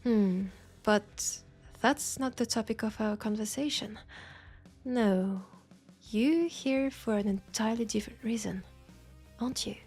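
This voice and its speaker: A woman with a French accent playing a knowing, teasing witch in an intimate roleplay audio drama, with husky, breathy speech and dramatic pauses. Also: on the high side, darkish tone, soft background music. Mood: teasing, curious. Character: knowing, teasing, compassionate witch in a secluded werewolf town.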